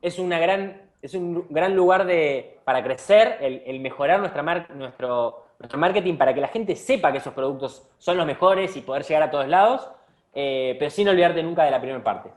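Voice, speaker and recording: A man's voice: fairly high pitch, moderate pace. A man from Argentina with an Argentine accent, a company founder answering interview questions with earnest advice and frequent restarts. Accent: Argentine Spanish. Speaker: startup CEO being interviewed.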